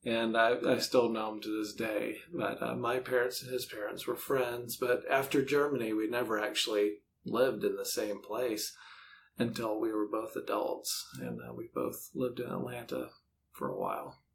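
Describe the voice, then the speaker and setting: A man's voice: lowish voice, normal speed, vocal fry, slight background noise. A middle-aged American man chatting casually and easily as an author being interviewed. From United States.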